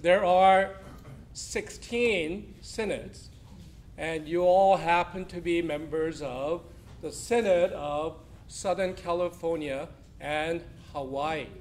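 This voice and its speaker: A man's voice: a little high, slow pace, slight background noise. Man projecting to a hall. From South Korea. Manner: loud, projecting, chatty with the audience. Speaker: church official speaking to a congregation. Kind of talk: informal talk to a congregation. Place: church hall with a crowd. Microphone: weak room microphone.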